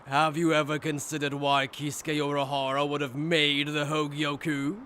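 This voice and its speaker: A man's voice: fairly high pitch, flat delivery, normal speed, fairly bright tone, a little hiss or hum, lightly acted. A man playing a smug, taunting aristocratic villain who talks down through rhetorical questions. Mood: smug, probing.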